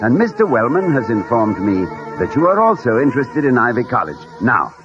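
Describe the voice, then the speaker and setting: A man's voice: mid-range voice, very animated, fairly quick, natural voice. A man playing an urbane, wryly philosophical middle-aged college man in 1950s radio acting, wordy and hesitant. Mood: earnest, eloquent. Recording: dull old radio recording with music.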